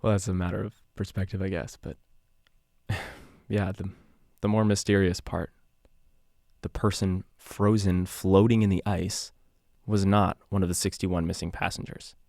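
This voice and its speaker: A low-voiced, confiding podcast host. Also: male voice, level tone, normal speed, creaky, mellow, dry recording, studio quality. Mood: musing, troubled. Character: haunted, confiding male investigative podcast host. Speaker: podcast host narrator in an audio drama. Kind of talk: conversational scripted true-crime narration.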